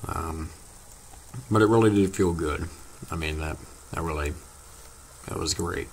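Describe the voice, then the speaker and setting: A teenage boy, slightly hoarse from losing his voice, chatting casually to his viewers in a rambling, halting way full of fillers. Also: low voice, a little hiss or hum. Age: teens. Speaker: vlogger. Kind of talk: casual vlog chat to viewers.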